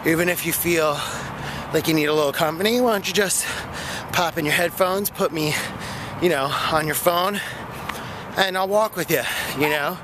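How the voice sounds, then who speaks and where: A man's voice: fairly high pitch, normal speed. A man in his thirties talking to a phone held at arm's length while walking in a park, chatty and rambling with many fillers and out of breath. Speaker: vlogger. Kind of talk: casual walking vlog. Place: outdoor park path. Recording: noisy outdoor phone recording.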